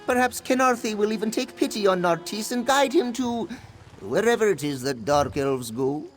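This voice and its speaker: High-pitched roguish cat-man sailor. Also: expressive, normal speed, soft background music. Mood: gleeful, smug. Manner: theatrical, playful. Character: jaunty roguish Khajiit cat-man sailor, talking in third person. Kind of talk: video game character dialogue.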